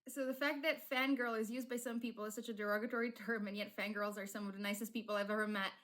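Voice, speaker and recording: A woman's voice: medium pitch, steady tone, fast, a bit bright. A woman whose first language is Polish, chatting casually on a live stream with many fillers and restarts. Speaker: streamer and fanfic writer.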